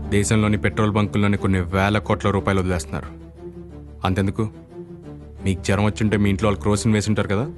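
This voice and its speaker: An actor playing a defiant, righteous common man in a film, arguing in measured, sarcastic rhetorical questions over a score. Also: fairly low pitch, little pitch movement, loud music behind the voice, lightly acted. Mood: indignant, challenging. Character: defiant common man holding a leader hostage, sardonic, righteous. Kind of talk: Telugu film dialogue, argumentative monologue.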